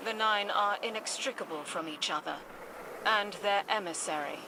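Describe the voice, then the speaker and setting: A woman's voice: medium pitch, normal speed, background noise, close to an everyday voice. An actress voicing an enigmatic, solemn female oracle in a video game, cryptic and portentous. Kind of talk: video game cutscene voice acting.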